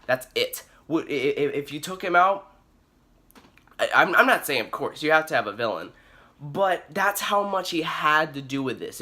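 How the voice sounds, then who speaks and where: A man with a raspy voice giving a casual, opinionated movie review in an emphatic, exasperated way. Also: on the high side, sharp tone.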